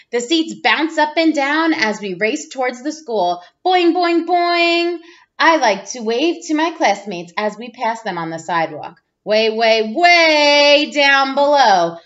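A woman reads a children's picture book aloud with playful expression. Her voice is high and swings widely in pitch. The sound is slightly dull and lo-fi, like a webcam in a room at home. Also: fairly bright tone. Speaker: teacher reading aloud. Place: small room at home, indoors.

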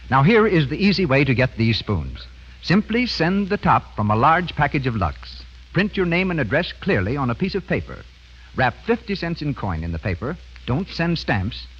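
A male American radio announcer from the 1930s with a husky edge and lively, swooping pitch. He sells formally and emphatically, every word carefully placed. The recording is muffled, like an old broadcast.

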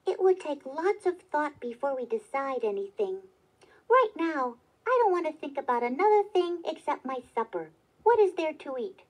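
A woman's voice: high-pitched, sing-song, moderate pace, low-quality recording. A woman voicing a grumbling, emphatic male woodcutter in a children's storybook. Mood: practical. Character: plain-living, grumbling male woodcutter and husband.

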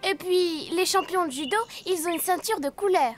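A very high-pitched cartoon donkey girl, confident and playful. She sounds matter-of-fact, with clear music behind her. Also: moderate pace. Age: young child character. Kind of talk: preschool cartoon dialogue.

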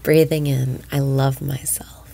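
A woman leading a guided breathing meditation in a slow, soothing voice that often drops to a whisper. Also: a bass voice, little pitch movement, vocal fry, mellow.

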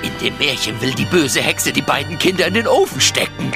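Fretful talking raven, wildly swooping. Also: male voice, a little high, very animated, fairly quick, gravelly, loud music behind the voice. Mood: alarmed. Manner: fretful, exclaiming. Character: worrying, scolding male talking raven. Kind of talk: children's radio play acting.

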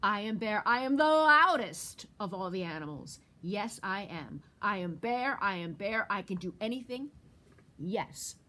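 A woman's voice: animated, sharp tone. A woman doing a big bragging storybook bear. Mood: boastful. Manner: slow, booming bragging. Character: big boastful male bear.

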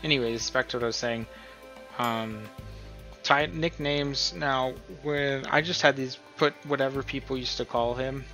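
A man's voice: mid-range voice, fairly even intonation, unhurried, pushed and bright, soft background music. A man hosting a casual screen-recorded tutorial, explaining things in an informal, rambling way. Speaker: host of a role-play tutorial.

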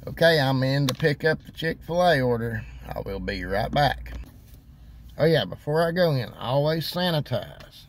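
A man's voice: mid-range voice, animated, normal speed. A man in his thirties, casually talking to camera from inside his car, giving plain, practical tips. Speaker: delivery driver vlogger. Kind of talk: casual vlog talk to camera. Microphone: phone camera on dashboard. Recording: loud car and street noise behind.

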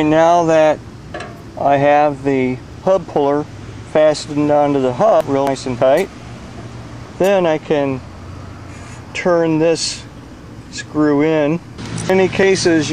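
A middle-aged man working as an HVAC technician, explaining a repair step by step in a plain, unhurried way with many pauses, recorded outdoors beside an air conditioning unit. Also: fairly high pitch, background noise. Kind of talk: hands-on repair tutorial.

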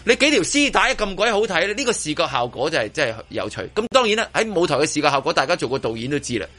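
A male radio host with a Hong Kong Cantonese accent chats in a bright, forceful voice, a little high for a man. His delivery swings widely in pitch. He often repeats himself and restarts phrases. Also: sing-song, fast. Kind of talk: casual radio chat, reviewing a live show. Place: radio studio.

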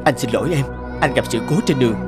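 Cartoon working father, male actor: medium pitch, gentle-hearted, modest and apologetic, music underneath. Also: fast talker, close to an everyday voice. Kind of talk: dubbed cartoon acting. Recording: music behind the voice.

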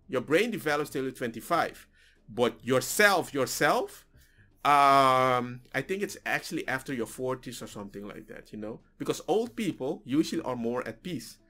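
Man, native Papiamento speaker, musing vlog talk, small room, headset mic, soft music under. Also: medium pitch. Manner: musing, with restarts and slips. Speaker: reaction video host. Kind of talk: casual reflective monologue. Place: small home room with bookshelves.

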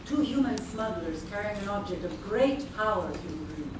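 A woman's voice: medium pitch, animated, moderate pace, lightly acted. A woman playing a stern, urgent female gargoyle leader in a live radio play reading. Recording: echoey meeting room, distant, noisy.

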